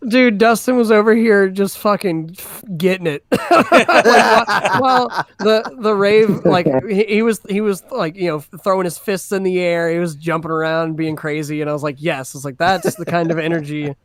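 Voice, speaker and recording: A man's voice: high voice, steady tone, no room sound. A man joining a stream call as a guest DJ, chatting enthusiastically and laughing as he tells stories. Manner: enthusiastic, laughs while telling stories, fast bursts. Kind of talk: casual chat on a voice call.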